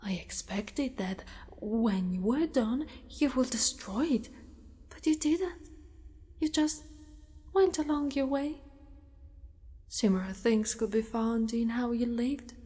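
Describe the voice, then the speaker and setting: A woman voicing a possessive, doting female spider monster in an ASMR roleplay, with formal wording and a hushed delivery that often slips into whispers. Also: fairly high pitch, animated, slow pace, long pauses. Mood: tender fascination. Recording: long reverberant echo.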